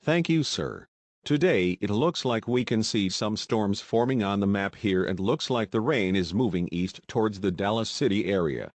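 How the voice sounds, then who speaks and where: A man playing a folksy, excitable television weather forecaster giving live radar commentary. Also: on the low side, moderate pace, dry recording, lightly acted. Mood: upbeat.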